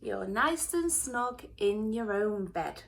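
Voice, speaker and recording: A woman's voice: mid-range voice, expressive, slow pace, vocal fry. Woman reading as Big Mouse, weary and blunt. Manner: blunt, firm, dismissive. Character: weary, grumpy but patient bigger male mouse.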